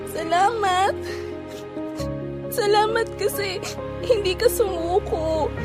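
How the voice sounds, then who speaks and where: A woman as a high-voiced, feisty young sweetheart. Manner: expressive, colloquial, exasperated yet affectionate. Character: feisty, flustered young woman, tender underneath. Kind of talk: romantic audio drama acting.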